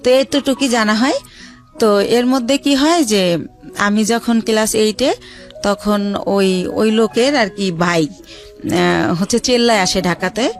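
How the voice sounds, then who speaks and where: A woman from Bangladesh telling her life story as a radio show guest, hesitant, with many pauses and fillers. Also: mid-range voice, faint music behind.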